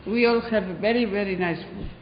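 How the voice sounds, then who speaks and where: A woman's voice: medium pitch, a little fast, crowd noise. A woman from Spain with a Spanish accent, a head teacher answering interview questions in English hesitantly, with many fillers. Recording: roomy hall, dull lo-fi sound.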